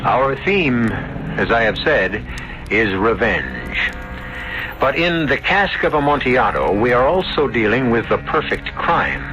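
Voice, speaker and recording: A man's voice: medium pitch, dull audio. An older man hosting a radio drama, narrating suspense in a grave, portentous manner. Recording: vintage radio, loud music behind.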